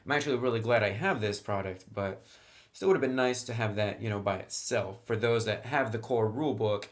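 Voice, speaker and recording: A man giving a casual spoken review of a tabletop game, enthusiastic, with lots of "actually" and "really" and quick restarts. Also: fairly low pitch. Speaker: product reviewer.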